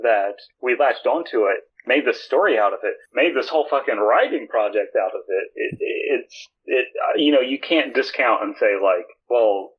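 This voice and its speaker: A man with a husky voice in casual podcast banter, recorded with dull lo-fi sound. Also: medium pitch, expressive, normal speed, few pauses. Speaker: podcast host.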